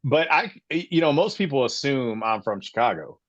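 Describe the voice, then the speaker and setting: A man's voice: medium pitch, sing-song, normal speed, no room sound, highs cut off. A man in his late forties from Florida, hosting a casual sports podcast and telling his own story with passion. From Florida, USA. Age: 40s. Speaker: podcast host.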